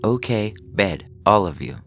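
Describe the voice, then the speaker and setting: A man's voice: on the low side, expressive, a little slow, vocal fry, pitched above the performer's own voice. A man voicing a devoted mother in a science fiction story, tender and reassuring but firm, hiding her dread. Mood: stern, coaxing. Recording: music behind the voice.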